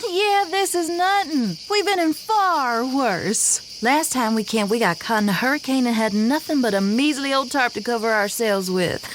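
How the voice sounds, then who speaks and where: A woman's voice: on the high side, moderate pace, hardly any pauses, lightly acted. A woman playing a brash, breezy seasoned expedition camper in an audio drama, blunt and teasing. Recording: insect-filled jungle noise behind.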